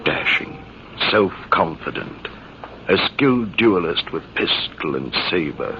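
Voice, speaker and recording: A man playing a reflective army major in an old radio drama, telling his story in a measured, theatrical way with a gravelly voice. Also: low voice, expressive, full character voice. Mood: reminiscing. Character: older army major recalling a past rival, reflective, measured. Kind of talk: 1940s radio drama acting. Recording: noisy, muffled vintage radio recording.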